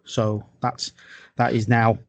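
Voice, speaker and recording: A man co-hosting a podcast in casual hobby chat, speaking in a halting, rambling way and repeating his phrases. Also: mid-range voice, level tone, gravelly, no echo. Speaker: podcast co-host.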